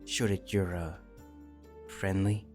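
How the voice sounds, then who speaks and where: A man acts out a dragon slayer with a deep voice. He is wry, casual and diplomatic, in the style of a fantasy audio story, with quiet music beneath. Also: level tone, slow. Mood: wry reasoning. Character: wry, diplomatic armed adventurer facing a dragon. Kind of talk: fan fiction audio drama acting.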